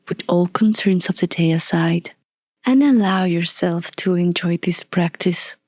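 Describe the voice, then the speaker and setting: Slow, breathy woman guiding meditation. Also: on the low side, no room sound, studio quality. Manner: calm, soothing, deliberate with long pauses. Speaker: meditation guide. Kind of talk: guided meditation, scripted narration.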